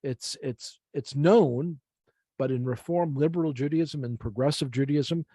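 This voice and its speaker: A mature American man gives an informal Bible lecture. His voice is mellow and relaxed, recorded close and dry, and he explains each point deliberately, repeating key phrases. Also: on the high side, husky, no echo. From United States. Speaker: Bible teacher. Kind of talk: informal teaching lecture with slides.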